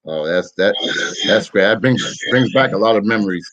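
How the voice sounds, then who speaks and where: A man hosting a sports interview show, effusive and quick to praise his guest. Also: fairly low pitch, lively intonation, fairly bright tone, muffled recording.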